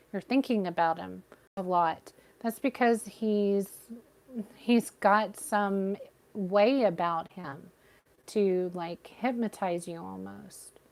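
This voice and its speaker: A woman giving a live tarot reading with a soothing, gentle voice, talking straight to her viewers. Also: medium pitch, vocal fry. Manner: gentle, reassuring, addresses viewers by name. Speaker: tarot reader.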